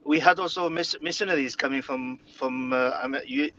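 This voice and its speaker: A man's voice: medium pitch, moderate pace, fairly bright tone, dull audio, slight background noise. A man from Morocco whose first language is Arabic, speaking hesitantly with many fillers and restarts as an interview guest. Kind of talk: conversational interview.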